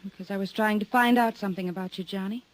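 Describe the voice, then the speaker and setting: An actress playing a weary, guarded woman stranded abroad in a 1950s radio drama, speaking softly and pleadingly. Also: mid-range voice, steady tone, fast talker, close to an everyday voice, poor audio. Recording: old radio broadcast recording.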